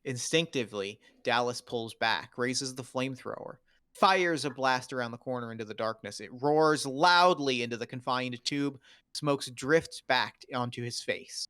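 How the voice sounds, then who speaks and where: A man's voice: mid-range voice, normal speed, bright, forceful tone, smooth, dry recording. Man reading stage directions, dry close sound. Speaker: stage-direction narrator at a table read. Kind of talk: scripted narration of screenplay action lines.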